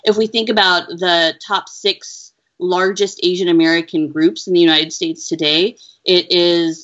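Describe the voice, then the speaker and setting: A woman researcher answering interview questions in an earnest, measured, explaining way. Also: fairly low pitch, fairly bright tone. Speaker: education researcher, interview guest. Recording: dull lo-fi audio.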